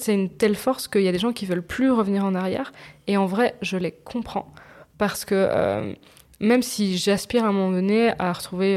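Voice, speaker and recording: A woman's voice: mid-range voice, a little mellow. Female podcast co-host, mid-range voice, open and informal, talking personally in slangy everyday speech. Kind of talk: casual, confessional podcast chat.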